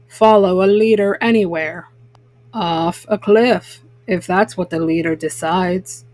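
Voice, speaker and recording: A woman voicing a folksy old male bear farmer, calm, wise and plain-spoken, giving gentle advice in a children's storybook. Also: fairly low pitch, takes it slowly, dry recording, pitched below the performer's own voice. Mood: wise, advising.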